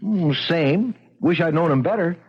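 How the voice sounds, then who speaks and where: An American actor playing a nervous, eager would-be reporter in his thirties in a 1970s radio drama, stammering in a husky voice. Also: on the high side, unhurried. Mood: glum. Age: 30s. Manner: jittery, stammering, animated. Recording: dull vintage radio broadcast.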